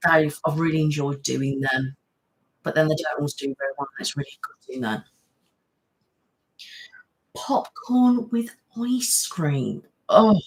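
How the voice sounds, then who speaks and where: A woman in her thirties hosts a relaxed live stream, talking in a loose, rambling way. She reads out viewers' comments and answers them as she goes. She sits close to the mic. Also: sits low, low-quality recording. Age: 30s. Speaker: ASMR channel host. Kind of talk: casual live-stream chat.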